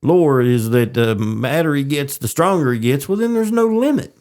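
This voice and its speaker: Male podcast co-host, medium pitch with very varied inflection, conversational pop-culture chat. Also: animated, normal speed, darkish tone.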